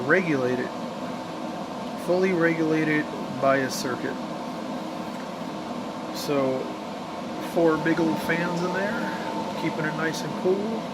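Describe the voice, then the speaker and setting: A man giving a casual, unscripted walkthrough of his hobby setup in a plainspoken, slangy way. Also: on the high side. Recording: loud hum of fans and room noise.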